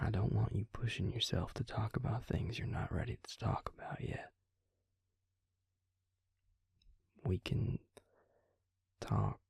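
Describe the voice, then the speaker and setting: Man voicing a slow, gravelly Southern vampire. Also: fairly low pitch, a little mellow, no echo, studio quality, heavily acted. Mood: tender, reassuring. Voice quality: breathy, gravelly. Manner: unhurried, soothing, half-whispered. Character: gentle, protective male vampire, teasing Southern charmer. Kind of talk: intimate scripted audio roleplay, addressing the listener.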